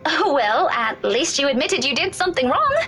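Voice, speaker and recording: A sharp, teasing young woman. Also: sits high, sing-song, normal speed, exaggerated voice. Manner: sarcastic, teasing, blunt. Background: faint game music.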